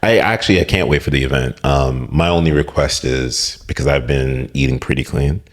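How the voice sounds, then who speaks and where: A man's voice: rumbling low, moderate pace. A man hosting a podcast, playful and teasing in casual chat. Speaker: podcast host.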